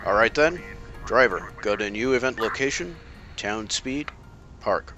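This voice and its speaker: An actor playing a calm, analytical masked vigilante detective with a dry, measured delivery. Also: male voice, medium pitch, dull audio, soft background music. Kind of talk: amateur motion comic voice acting.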